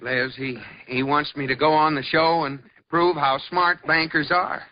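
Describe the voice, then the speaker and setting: An actor playing a flustered, exasperated husband and bank vice president, in 1940s radio comedy style. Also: male voice, on the high side, fairly even intonation, unhurried, close to an everyday voice. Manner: stammering, exasperated. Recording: dull lo-fi radio recording with hiss.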